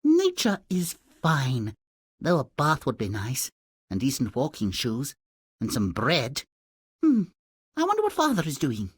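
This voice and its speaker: A man's voice: on the high side, husky, no room sound, clean studio sound, exaggerated voice. A man voicing a sweet, long-suffering young maiden in a fairy tale video game, dainty and matter-of-factly polite. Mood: wistful.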